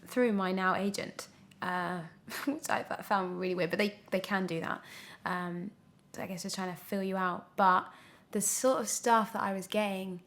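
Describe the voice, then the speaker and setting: A woman's voice: medium pitch, a bit bright. A British woman in her twenties giving a casual podcast interview as a young actor, emphatic and often breaking off to restart her thought. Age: 20s.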